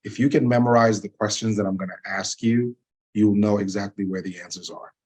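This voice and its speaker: Deep-voiced man explaining at ease. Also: a little fast, slightly rough. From Zambia. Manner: relaxed, explanatory, frequent "right?" and "you know". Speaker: attorney, interview guest. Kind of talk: casual interview conversation.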